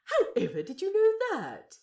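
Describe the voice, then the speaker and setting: A woman voicing a gentle, fussy male aquarium guide in an audio story, polite, proper and earnest. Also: very high voice, wildly swooping pitch, normal speed, roomy sound, exaggerated voice. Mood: delighted. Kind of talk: character acting for narrated audio fiction.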